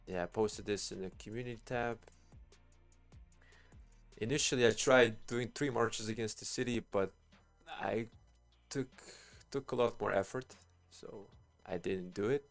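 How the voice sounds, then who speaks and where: Low-voiced man streaming with gravelly edge. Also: unhurried, faint music behind. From Bosnia and Herzegovina. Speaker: live streamer. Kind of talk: casual game stream commentary.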